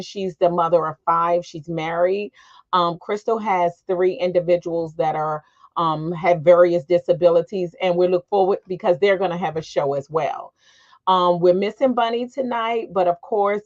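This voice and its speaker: A woman's voice: lowish voice, a little mellow. A middle-aged American woman hosting an informal panel discussion. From Washington DC area, United States. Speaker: host. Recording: dull, lo-fi call audio.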